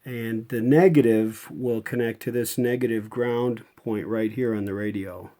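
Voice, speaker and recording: A man's voice: medium pitch, fairly even intonation, unhurried, warm tone. A man giving an unscripted, hands-on repair demonstration as a restorer, explaining things step by step in a folksy way.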